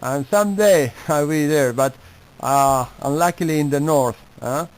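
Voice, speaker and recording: A man with an Argentine Spanish accent chatting on ham radio in polite, halting non-native English. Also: a little high, rough recording. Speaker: amateur radio operator. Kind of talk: casual ham radio chat.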